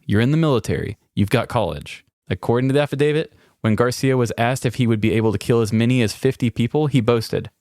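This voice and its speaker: A man's voice: medium pitch, darkish tone, no room sound, studio quality. A man co-hosting a podcast, chatting casually and reading news articles aloud in an informal storytelling way. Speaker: podcast co-host.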